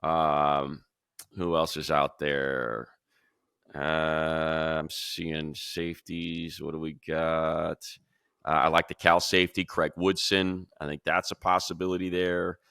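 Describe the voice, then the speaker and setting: A man with a deep voice, recorded close and dry, gives unscripted football analysis. He is conversational and opinionated, working through his thoughts out loud like a sports radio regular. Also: flat delivery, slow pace, gravelly, clear, resonant tone, no echo. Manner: conversational, opinionated, thinking aloud. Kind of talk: casual unscripted football draft commentary.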